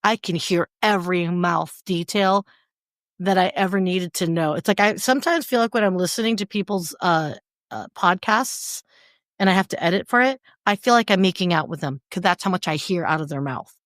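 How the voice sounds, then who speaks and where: A woman's voice: lowish voice, normal speed, dry recording, clean studio sound. A woman co-hosting a casual podcast, blunt and jokey, with crude asides, speaking into a desk mic. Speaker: podcast co-host. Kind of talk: casual chat. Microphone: desk mic about seven inches away.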